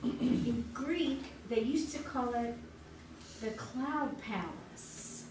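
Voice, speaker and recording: A woman's voice: a little high, extremely slow. A woman playing an eager, naive wife who is a contestant in a comic radio game show on stage. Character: eager, dim, sweet wife named Barbara, quiz contestant. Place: live stage performance in a small theater. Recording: echoey, distant, noisy theater recording.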